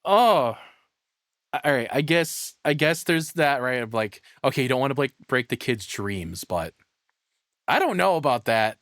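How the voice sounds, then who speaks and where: A man talks through his reactions like a streamer, loose and sweary. He rambles and thinks out loud into a close mic in a very dry space, with a mid-pitched voice. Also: big pitch swings, unhurried, no echo. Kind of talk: casual reaction commentary.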